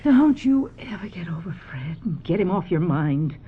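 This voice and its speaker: Husky, stern, scolding mother. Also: on the low side, big pitch swings, normal speed. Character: stern, impatient middle-aged mother, unsentimental. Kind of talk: radio drama acting. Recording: dull lo-fi radio sound.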